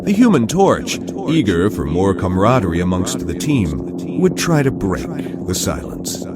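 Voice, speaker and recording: Casting: male story narrator, expressive, slightly deep, set far back amid very loud background noise. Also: measured pace. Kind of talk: scripted audio drama narration.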